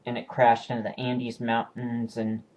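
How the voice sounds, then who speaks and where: A man talks in an easygoing, unscripted way about his collection of discs. His voice sits at an ordinary middle pitch. He pauses often and corrects himself mid-sentence. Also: monotone, a little mellow. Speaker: video collector showing new purchases. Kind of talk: casual home video review.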